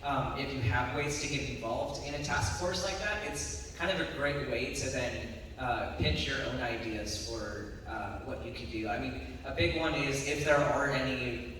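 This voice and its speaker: A man's voice: mid-range voice, fairly bright tone. Man panelist, practical advice, very echoey hall, audible background noise. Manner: measured, practical advice with fillers. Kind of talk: casual Q&A talk. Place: lecture room with audience. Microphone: phone camera at a distance. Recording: echoey hall, phone from far off.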